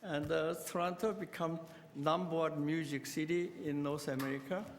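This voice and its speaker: An older man, a city councillor giving a halting, earnest speech full of fillers and restarts at a committee meeting. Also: on the high side, fairly even intonation, in a large hall, a little hiss or hum. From South Korea. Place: council chamber.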